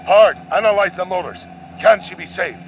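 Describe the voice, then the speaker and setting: A man playing a brave, devoted father and pilot in a radio play, earnest, dramatic and tender. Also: moderate pace. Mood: urgent, determined. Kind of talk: audio drama acting. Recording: muffled, faint vehicle rumble.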